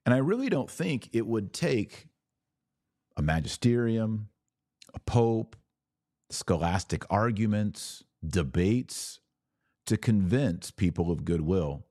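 A man with a very low, mellow voice, recorded close and dry. He speaks as a religious podcast host addressing his audience directly, making his case in emphatic, clipped statements with an argumentative edge. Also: big pitch swings, slow pace, dry recording. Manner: emphatic, argumentative, speaks in short declarative phrases. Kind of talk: live apologetics talk answering viewer comments.

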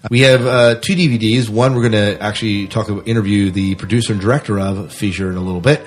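A man's voice: on the low side, steady tone, quick pace. A man hosting a podcast in a casual, conversational style. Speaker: podcast host.

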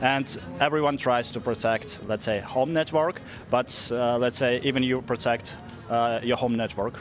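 A man from Russia with a Russian accent, giving measured, explanatory interview answers in a non-native language, often pausing with "let's say". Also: medium pitch, steady tone, faint music behind. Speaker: interviewed security researcher. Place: conference event venue.

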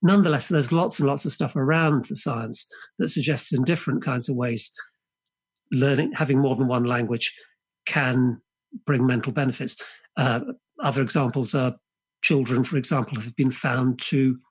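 A man whose first language is Polish, a guest author speaking in a podcast interview, thoughtful and halting, often restarting and repeating words. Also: mid-range voice, fairly even intonation, breathy edge.

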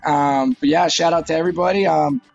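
Gravelly, high-voiced fighter in his thirties. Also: fairly even intonation, fairly quick, darkish tone, lo-fi sound, soft background music. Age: 30s. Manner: eager, repeats words when starting phrases. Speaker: MMA fighter guest. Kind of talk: casual interview talk.

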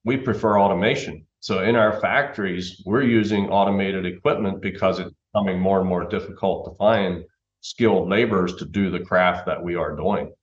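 Middle-aged man, low pitch, explanatory interview guest, roomy muffled remote recording. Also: fairly even intonation, normal speed. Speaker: interview guest, timber framing company manager. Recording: dull, roomy remote call sound.

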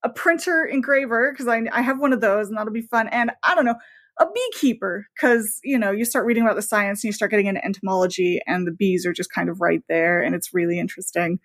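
A woman's voice: medium pitch, quick pace, fairly bright tone. A woman novelist talking casually as an interview guest, enthusiastic and rambling, often voicing her own thoughts as asides.

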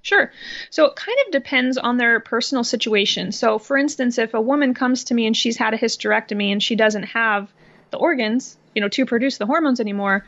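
A woman's voice: a little high, creaky, a bit bright, highs cut off. A woman in her thirties from Iowa, a health practitioner explaining her approach to patients in a podcast interview, with light laughs between points. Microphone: remote computer recording.

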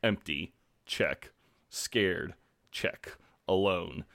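A man's voice: low voice, drawn-out words, creaky, fairly bright tone, no echo, studio quality. A man co-hosting a podcast in casual chat, swearing freely and laughing at himself, congested. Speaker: podcast co-host.

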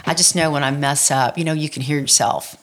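A woman with a strikingly low-pitched voice and a light Southern American accent. She works as an interviewer, talking casually and conversationally with her guest. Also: steady tone, a little fast. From Louisiana, United States.

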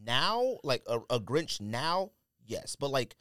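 Animated, blunt man chatting casually. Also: mid-range voice, slow, no echo, clean studio sound. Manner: blunt, slangy, argumentative. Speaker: sneaker podcast host. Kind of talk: casual chat and debate.

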